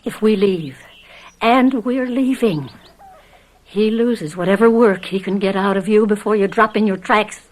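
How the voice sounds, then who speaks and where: An actress playing a defiant, determined wife desperate to escape, speaking with urgent, accusing insistence in an old radio mystery drama. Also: female voice, mid-range voice, unhurried, a little mellow, close to an everyday voice.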